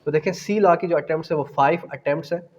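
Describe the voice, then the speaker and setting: A man's voice: on the high side, very fast, lo-fi sound. A man with a Pakistani accent lecturing exam students, explaining by posing questions and answering them, mixing in English phrases. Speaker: law exam tutor. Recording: long hall-like reverb.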